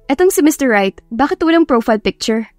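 Woman playing a good-humoured young Filipina heroine, fairly high. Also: animated, fairly quick, a little mellow, dry recording. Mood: curious. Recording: music behind the voice.

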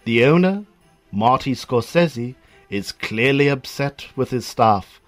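A man tells a story as narrator of a comic radio play. His medium-pitched voice is theatrical, with deadpan asides, and music plays faintly behind him. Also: slow pace.

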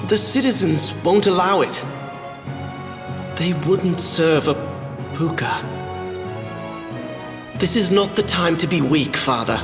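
An actor playing an earnest young prince turned into a rabbit creature, speaking resolutely and tenderly. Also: male voice, sits high, takes it slowly, pauses often, big-room sound, over music. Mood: firm. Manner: earnest, resolute, gently pleading.

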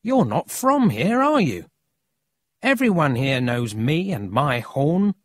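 A cheeky, brash city boy from a storybook, played by an adult reader. His voice is pitched high and swoops about with eager, teasing energy, recorded dry and close. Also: big pitch swings, takes it slowly, no echo. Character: cheeky, excitable, friendly city boy with a horn.

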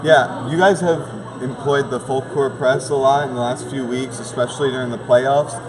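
A young man with a mid-range voice works as an interviewer on a postgame show. He talks casually in a gym, with crowd noise clearly behind him. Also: mid-range voice, fairly even intonation, moderate pace, poor audio. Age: young adult. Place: large indoor basketball gym.

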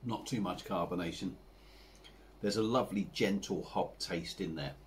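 English man in his forties, very low voice, rambling relaxed chat at a home bar, faint room hum. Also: normal speed. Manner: chatty, rambling, relaxed, with frequent fillers. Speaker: beer reviewer. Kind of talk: casual to-camera review.

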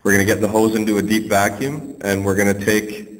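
A man working as an HVAC technician, casually walking through a hands-on test with a relaxed, plain-spoken manner and frequent ums. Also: fairly low pitch, brisk. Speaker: HVAC technician and channel host. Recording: reverberant space with faint noise.

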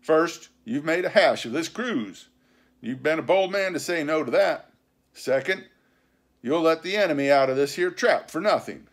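Man acting a high-pitched, accusing pirate mutineer. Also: lively intonation, moderate pace. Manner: blunt, insistent nautical slang. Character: accusing, blunt mutinous pirate challenger, long lanky man.

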